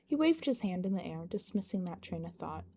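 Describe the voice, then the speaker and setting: A woman's voice: fairly low pitch, sing-song, brisk, mellow. A woman reading a scripted fan-fiction story aloud as its narrator. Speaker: podfic narrator. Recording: noticeable background noise.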